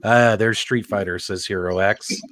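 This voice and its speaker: A man hosting a casual panel show, excitable and chatty as he addresses his co-hosts and audience. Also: on the low side, a little slow, no room sound. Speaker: host of a video game podcast.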